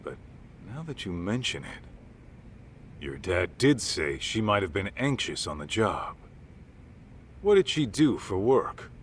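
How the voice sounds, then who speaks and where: An actor playing a gruff middle-aged ex-gangster turned detective in a video game dub, talking bluntly and wearily in informal, clipped phrases. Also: male voice, fairly low pitch, sing-song, a little slow, long pauses, a little hiss or hum. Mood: probing, skeptical.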